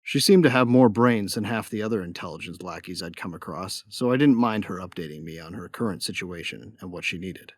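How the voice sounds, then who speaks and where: A man's voice: deep voice, fairly quick, hardly any pauses, dry recording, studio quality. A man playing a young bounty hunter in a sci-fi audio drama, telling his story in a wry, laid-back, cocky way. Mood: wry narration. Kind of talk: first-person audio drama narration.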